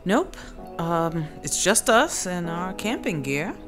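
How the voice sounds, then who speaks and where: An uneasy, apologetic woman traveler played by an actress in a radio drama. She talks hesitantly and politely in a medium-low voice, with clear music behind. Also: big pitch swings, slow pace, a bit bright, full character voice. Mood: nervous.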